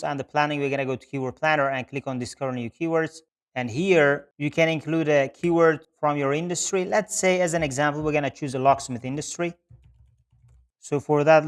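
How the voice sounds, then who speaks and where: A man's voice: a little high, clear, resonant tone. A man explaining things step by step as an instructor, in a methodical screen-share tutorial style with repeated phrasing. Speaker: instructor, Google Ads specialist.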